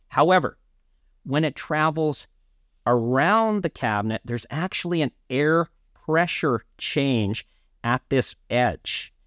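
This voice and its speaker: A man in his fifties gives an explanatory talk to camera. His voice sits a little high, and he stresses his phrases deliberately. The sound is very dry, recorded in a small indoor studio. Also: no room sound. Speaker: presenter of an audio explainer series.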